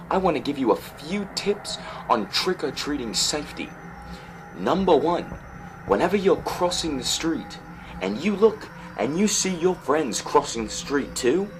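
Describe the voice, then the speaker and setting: A man hosting casually and comically, speaking to viewers in a mock-earnest, exclamatory way. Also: a little high. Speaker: host.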